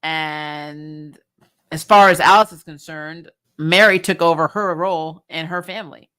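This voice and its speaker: A woman's voice: deep voice, fairly even intonation, a little slow, fairly bright tone, clean tone. A woman chatting casually as host of a recap stream, blunt, sarcastic and emphatic, swearing freely and sounding exasperated. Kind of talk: casual opinionated livestream review chat.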